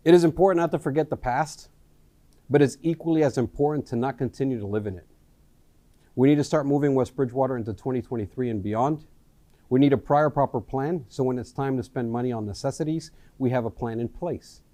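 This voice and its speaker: A man in his forties from Miami, a retired Marine, introducing himself as a local election candidate in an earnest, halting way with many ums and restarts. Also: mid-range voice, mellow, dry recording. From Miami, USA. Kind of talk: candidate introduction, casual speech. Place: local access studio.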